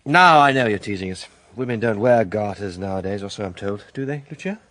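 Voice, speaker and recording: Animated, impatient amateur sleuth. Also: male voice, on the low side, fairly quick, dry recording. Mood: sceptical. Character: determined, sceptical male amateur sleuth, impulsive and gallant. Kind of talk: radio detective drama acting.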